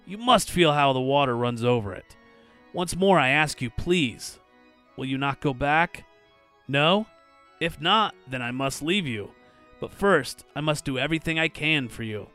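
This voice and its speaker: Coolly murderous gentleman, bright forceful voice man acting. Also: a little high, animated, unhurried, soft background music. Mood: mock politeness. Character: coolly scheming murderous gentleman feigning polite concern. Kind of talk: dramatic short story reading.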